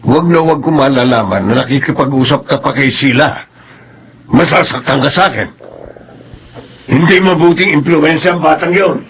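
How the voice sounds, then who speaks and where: A man's voice: on the high side, moderate pace, fairly bright tone, husky. An actor with a Filipino accent playing a stern, domineering middle-aged father and doctor in a radio soap, scolding and mixing in English. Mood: threatening. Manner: harsh, scolding, code-switches Tagalog with English.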